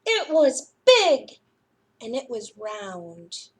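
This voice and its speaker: Slow, high-voiced woman reading to kids. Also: huge pitch swings. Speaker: children's library storyteller. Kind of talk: read-aloud story time for young children.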